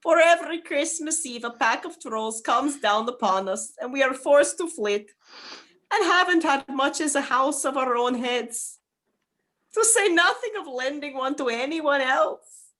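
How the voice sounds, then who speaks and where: A woman's voice: high-pitched, animated, unhurried, a bit bright, pitched above the performer's own voice. A woman voicing a timid, frightened Norse cottager in an amateur radio play, pleading in old-fashioned storybook phrases. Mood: fearful.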